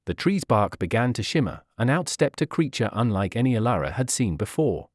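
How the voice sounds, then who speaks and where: Animated male fairy tale narrator. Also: medium pitch, fairly quick, no room sound, studio quality.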